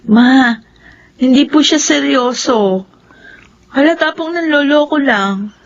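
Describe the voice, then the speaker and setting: Meek naive young filipina, super high voice, earnest n pleading, lofi radio drama. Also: female voice, slow pace. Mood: meek, guarded. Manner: soft-hearted, pleading, respectful. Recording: dull lo-fi radio sound.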